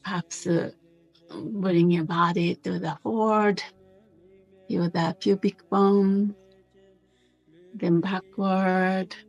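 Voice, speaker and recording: A woman leads a guided meditation very slowly, in short phrases with pauses between them. Her voice is slightly husky and gentle, at a middle pitch. Faint music plays under her words. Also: steady tone, highs cut off. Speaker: meditation teacher.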